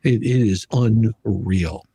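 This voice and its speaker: A man hosting a live stream, giving emphatic, argumentative commentary with a husky voice and reading passages from a document aloud. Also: lowish voice, slow pace, mellow.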